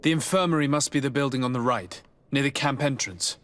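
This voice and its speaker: A man acting a courteous, composed noble diplomat with a formal, measured manner in a video game. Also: medium pitch, fairly bright tone, close to an everyday voice.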